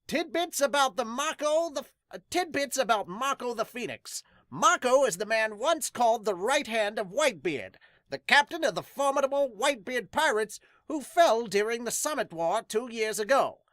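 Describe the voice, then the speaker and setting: Podcaster doing manga dub announcer, raised pitch, grandiose, emphatic, theatrical, dry sound. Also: lively intonation, fairly bright tone, no room sound, lightly acted. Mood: expository. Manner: grandiose, emphatic, drawn-out words. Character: booming, dramatic manga title announcer, bold and grand.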